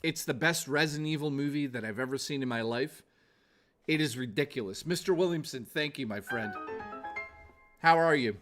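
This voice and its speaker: A man's voice: medium pitch, normal speed, fairly bright tone, faint music behind. A man in his forties chatting casually to viewers as a gaming livestreamer, informal and exclamatory, with some swearing. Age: 40s.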